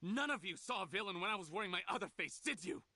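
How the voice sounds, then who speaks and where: Bitter, defiant male game villain, theatrical, sharp and highly expressive. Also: fast, no echo, exaggerated voice. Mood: defiant, indignant. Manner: theatrical, scornful, indignant.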